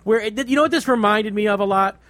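A man's voice: high voice, fast talker, fairly bright tone, no echo. A man co-hosting a casual movie discussion, agreeable and conversational, often chiming in. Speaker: podcast co-host.

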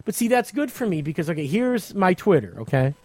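A man's voice: high voice, sing-song, a little fast, a little mellow, dry recording, studio quality. A man hosting a radio show as a comic, explaining things insistently in casual chat.

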